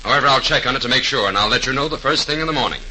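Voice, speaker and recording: An actor playing a confident, no-nonsense police detective, brisk and assured, in 1930s radio drama style. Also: male voice, mid-range voice, bright, forceful tone, low-quality recording. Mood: assured, explaining. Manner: brisk, assured, tough-talking explainer. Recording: hissy 1930s radio recording.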